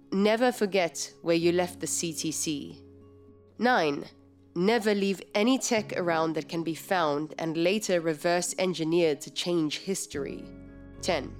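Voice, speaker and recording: A composed, businesslike project leader played by a woman in a time-travel audio drama. Her voice sits fairly low. She speaks formally and precisely, in measured phrases.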